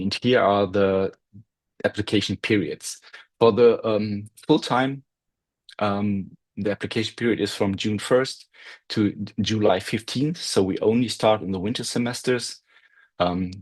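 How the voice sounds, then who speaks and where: Husky man, low voice, patient explainer on a video call. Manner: explanatory, checks in with listeners. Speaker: university presenter. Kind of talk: online info session presentation.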